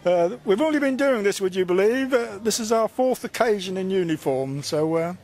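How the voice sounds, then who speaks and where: A man's voice: high voice, lively intonation, moderate pace, darkish tone. Jokey man in his seventies. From England. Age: 70s. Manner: jokey, cheerful. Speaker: re-enactor.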